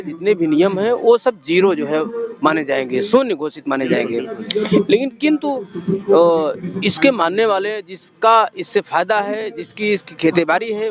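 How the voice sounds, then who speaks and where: A male monk delivers a formal appeal in a high voice, stressing phrases by repeating them. The recording is dull and lo-fi, with a crowd clearly heard behind him. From Uttar Pradesh, India. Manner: oratorical, emphatic repetition. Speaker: Buddhist monk interviewee. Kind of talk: formal impromptu speech to camera. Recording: dull lo-fi sound, crowd around.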